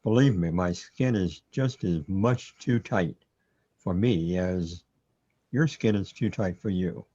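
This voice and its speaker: A man playing a calm, wry metaphysical guide in a radio play, unhurried and matter-of-fact, on a dull lo-fi recording. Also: low voice, breathy edge, heavily acted.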